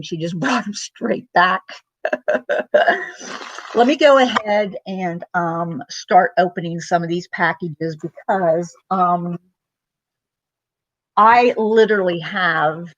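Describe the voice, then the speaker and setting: A woman's voice: on the low side, no echo. A middle-aged woman chatting casually on a home live stream, rambling with many ums and greeting viewers by name. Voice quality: loud voice. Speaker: vlogger.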